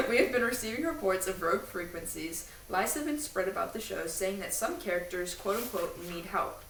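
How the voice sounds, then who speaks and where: A woman with a slightly low voice talks casually as a podcast co-host, explaining things like a fan and drifting into asides. She sounds a bit away from the mic in an echoey room, with faint noise behind her. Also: recorded from a distance.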